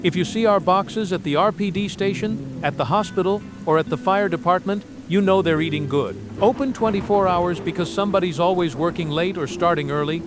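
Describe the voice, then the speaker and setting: A man playing a friendly donut shop owner giving a folksy local radio pitch. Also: a little fast, hardly any pauses, music in the background, lightly acted. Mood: upbeat pitch. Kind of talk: local radio commercial in an audio drama.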